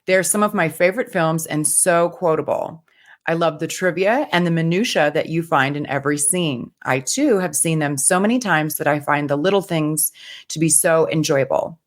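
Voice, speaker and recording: Woman, from the US, podcast co-host, low-ish voice, upbeat reading. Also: normal speed. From United States. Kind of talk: casual podcast chat, reading listener email aloud.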